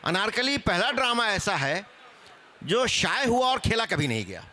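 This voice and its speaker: A man's voice: high voice, very animated, moderate pace, sharp tone. A man speaking as a panelist in a discussion, explaining in a scholarly way. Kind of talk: spoken panel discussion on theatre history.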